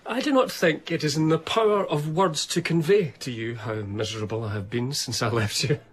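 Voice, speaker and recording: An actor playing a devoted, melancholy eighteenth-century gentleman biographer, narrating in earnest, literary first person. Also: fairly high pitch, fairly quick, slight background noise, lightly acted. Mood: melancholy, reflective.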